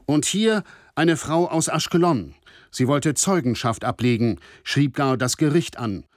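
A man acting a formal radio reporter in a radio play. His pitch moves widely and expressively through measured, descriptive narration, recorded very dry and close. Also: expressive, dry recording, natural voice. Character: male radio reporter covering a war-crimes trial.

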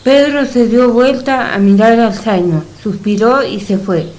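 A woman's voice: a little high, measured pace, a little mellow, rough recording. A woman presenting a radio programme and narrating its stories.

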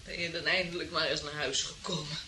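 An actress playing a despairing, accusing wife in a Dutch radio drama, tearful and reproachful. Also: female voice, deep voice, steady tone, fairly quick, close to an everyday voice. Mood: despairing, bitter. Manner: wailing, reproachful. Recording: roomy, noisy radio drama recording.

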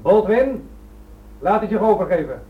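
An actor playing an urgent policeman negotiating in a radio drama, giving forceful warnings. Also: sits high, big pitch swings, normal speed. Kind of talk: Dutch radio drama acting. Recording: muffled, distant old radio recording.

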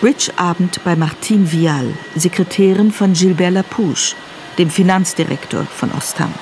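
Low-voiced female crime-thriller narrator. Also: a little slow, darkish tone. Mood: matter-of-fact. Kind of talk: scripted radio drama narration. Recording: clear ambient background noise.

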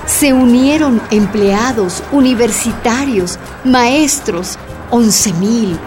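A woman's voice: on the high side, takes it slowly, noisy background. A woman delivering scripted narration for a radio drama.

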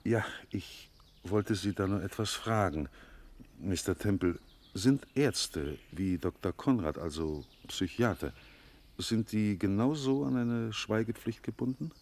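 An actor playing a middle-aged intellectual novelist in a 1950s German radio drama, hesitant, evasive and self-deprecating. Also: male voice, on the low side, a little slow, a little mellow. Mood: uneasy. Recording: noisy vintage radio recording.

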